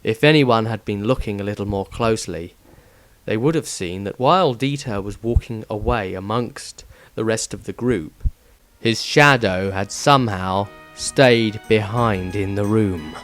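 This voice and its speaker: Slow, measured male fantasy narrator. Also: on the low side, fairly bright tone, faint music behind, poor audio. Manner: measured, solemn storytelling. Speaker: author reading own fiction aloud. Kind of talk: scripted dramatic fantasy narration.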